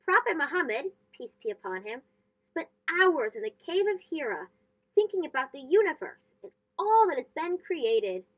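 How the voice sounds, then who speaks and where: A woman reading a children's storybook aloud as host, expressive and phrased for young listeners. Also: high-pitched, moderate pace, muffled recording.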